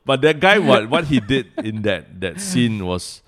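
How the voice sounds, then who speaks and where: A Singaporean man co-hosting a podcast, talking in a measured, agreeable way with frequent "lah". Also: medium pitch, very animated, a bit bright. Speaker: podcast co-host. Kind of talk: casual chat.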